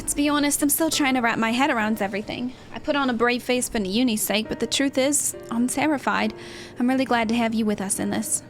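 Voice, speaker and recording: A woman's voice: a little high, brisk, faint music behind, natural voice. A woman voicing an earnest, worried young female fighter from a video game, sincere and pleading. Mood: earnest worry.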